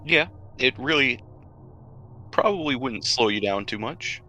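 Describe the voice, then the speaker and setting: A man's voice: medium pitch, lively intonation, unhurried, sharp tone, a little hiss or hum, rough recording. A man narrating a tabletop role-playing game as game master, describing scenes with casual asides and small hesitations.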